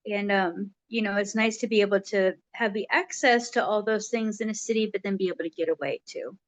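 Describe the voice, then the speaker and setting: A woman's voice: medium pitch, fairly even intonation, fairly quick, dry recording. A woman hosting an interview show, asking her guest questions in a friendly, upbeat way. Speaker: show host.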